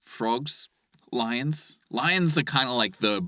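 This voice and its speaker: A man hosting an improv comedy podcast, playing scenes with playful deadpan patter and chatting casually. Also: mid-range voice, takes it slowly, dry recording.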